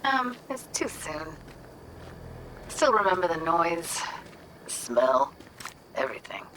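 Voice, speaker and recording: A woman's voice: on the low side, sing-song, slow, fairly bright tone, slight background noise, exaggerated voice, low-quality recording. A woman playing a jaded, weary young woman in a video game, tough but tender, talking in casual, clipped slang. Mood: wry, casual. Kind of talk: naturalistic video game voice acting.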